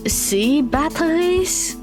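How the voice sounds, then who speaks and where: Audio drama role: sarcastic, bossy teen girl, slow delivery, lively pitch swings. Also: over music, exaggerated voice. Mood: dry, puzzled.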